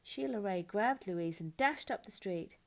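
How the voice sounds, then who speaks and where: A woman narrating a children's picture book in an expressive read-aloud style. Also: mid-range voice, unhurried, gravelly. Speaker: storybook narrator. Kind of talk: expressive children's story reading.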